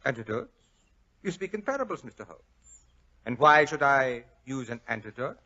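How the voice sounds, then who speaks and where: A man's voice: mid-range voice, big pitch swings, moderate pace, pushed and bright. An actor playing a fussy, effusive Frenchman with a flowery, slyly menacing politeness and a French accent, in 1940s radio style. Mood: smooth, guarded. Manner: flowery, pompous, overly polite. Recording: dull old-time radio recording.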